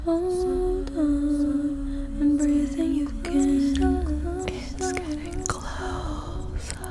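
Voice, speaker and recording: A woman doing ASMR, singing and whispering songs in a soft, breathy, gentle voice. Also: extremely high pitch, fairly even intonation, over loud music. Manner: slow, drawn-out, gentle delivery. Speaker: ASMR performer.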